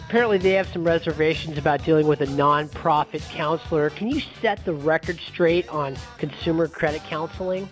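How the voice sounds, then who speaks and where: A man's voice: a little high, fairly quick, hardly any pauses. Male call host prompting over music. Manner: prompting, approving short reactions before questions. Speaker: host interviewing a guest. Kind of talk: promotional interview on a conference call.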